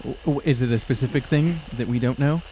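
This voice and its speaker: A man's voice: medium pitch, fast talker, mellow, slight background noise. A young man chatting casually and sardonically as a player in a tabletop fantasy game. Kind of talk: casual improvised game chat.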